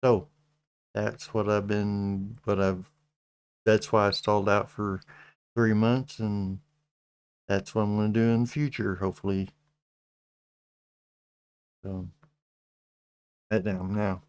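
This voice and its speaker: A middle-aged man with a Texas accent, hosting a casual livestream chat, speaking slowly with many halting restarts. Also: fairly low pitch, level tone, darkish tone, clear, resonant tone. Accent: Texan. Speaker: channel host.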